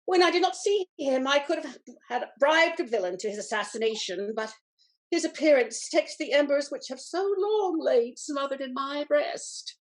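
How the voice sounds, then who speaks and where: High-pitched, grandiose aristocratic aunt. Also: female voice, animated, normal speed, rough recording. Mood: grudging, smitten. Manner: grandiose, theatrical. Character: melodramatic, imperious, older aristocratic aunt in Restoration comedy. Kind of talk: scripted stage comedy acting.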